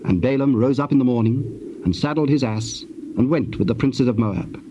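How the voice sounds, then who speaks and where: A man narrates dramatized scripture in solemn, archaic English. His voice sits at a middle pitch, with clear noise from an old cassette tape behind it. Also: moderate pace, muffled recording.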